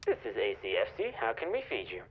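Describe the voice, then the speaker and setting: A man playing a patient, polite fast-food order taker in a video game, heard over a telephone. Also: lowish voice, wildly swooping pitch. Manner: scripted customer-service politeness. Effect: telephone filter. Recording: muffled recorded phone call.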